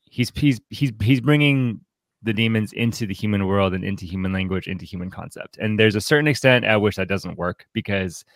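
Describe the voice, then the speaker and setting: A man with a fairly low voice hosts a casual discussion about books. He speaks thoughtfully and haltingly, with bookish phrasing. The sound is close and dry, with little room around it. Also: no room sound. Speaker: podcast host.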